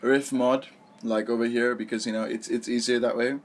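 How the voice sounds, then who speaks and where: A man's voice: medium pitch, flat delivery, fairly quick. A young man with a mid-range voice chats informally to his viewers in a storytime vlog. He rambles along in a conversational way, correcting himself and padding his sentences with small filler phrases. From born in Malaysia, raised abroad. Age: young adult. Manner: rambling, informal, self-correcting, peppered with "you know" and "like". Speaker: vlogger. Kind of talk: casual storytime vlog chat.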